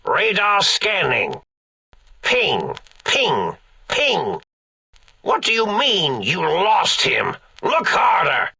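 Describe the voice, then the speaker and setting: An actor playing a manic, cackling old mad scientist villain in a video game, theatrical and grandiose, with a raspy, gravelly voice and drawn-out delivery. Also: male voice, high voice, huge pitch swings, bright, forceful tone, exaggerated voice. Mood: irritated, muttering.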